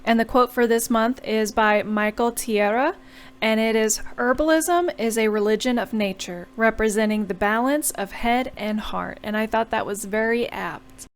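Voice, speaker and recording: A woman's voice: on the high side, moderate pace. A young woman chatting through a journal painting tutorial as a voice-over, explaining each step and apologizing now and then. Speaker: bullet journal creator.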